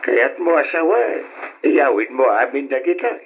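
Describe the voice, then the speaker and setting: A man's voice: mid-range voice, sing-song, measured pace. An actor playing an easygoing older husband and father in an old-style radio drama, with an Ilocano accent. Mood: gleeful. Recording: dull, noisy lo-fi radio sound.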